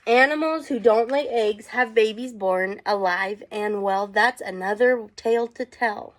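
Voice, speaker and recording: A woman's voice: a little high, measured pace. A woman teacher reading aloud to children, with chatty asides and questions for her young listeners.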